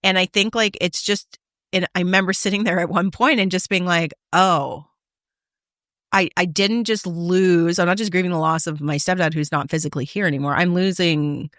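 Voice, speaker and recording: A woman's voice: fairly low pitch, steady tone, normal speed, fairly bright tone, no room sound, studio quality. A woman of about fifty talking candidly and casually as a podcast host, retelling things with quoted self-talk.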